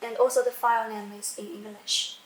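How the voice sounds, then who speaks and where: A woman giving an unscripted step-by-step software demonstration, halting as she searches for words and repeats phrases. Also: fairly high pitch, flat delivery. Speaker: software tutorial presenter. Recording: echoey room with faint background noise.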